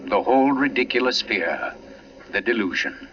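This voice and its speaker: Guy as dramatic 1940s suspense narrator, serious, lowish, a bit off the mic, muffled old radio. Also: expressive, normal speed, natural voice. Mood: measured. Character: grave novelist narrator telling a suspense tale. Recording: distant, dull vintage radio recording. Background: dramatic score music.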